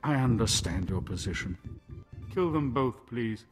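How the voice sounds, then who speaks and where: A man playing a smooth, menacing crime boss in a video game, polite and measured with veiled threat. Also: medium pitch, lively intonation, faint music behind. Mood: quietly menacing.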